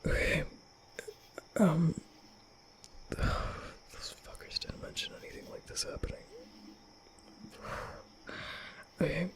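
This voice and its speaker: A young man playing an awkward, nervous vampire in an ASMR roleplay, stammering and muttering in breathy whispers. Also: high voice, wildly swooping pitch, exaggerated voice. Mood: awkward, hesitant. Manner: halting, stammering, muttering to himself. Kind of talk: ASMR roleplay audio drama.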